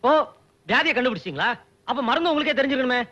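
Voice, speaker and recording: A man's voice: very high-pitched, lively intonation, rapid-fire, pushed and bright, exaggerated voice. An actor playing a sarcastic man doctor who teases and scolds his patients. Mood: teasing. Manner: sarcastic, teasing.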